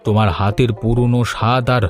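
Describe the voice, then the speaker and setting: পুরুষ কণ্ঠে ভোজনরসিক, দিলখোলা পাড়ার কাকা. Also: male voice, medium pitch, fairly even intonation, normal speed, close to an everyday voice. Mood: appreciative. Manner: hearty, wordy, philosophising. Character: genial family uncle, food-loving, flattering talker. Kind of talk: animated moral story dialogue. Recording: music behind the voice.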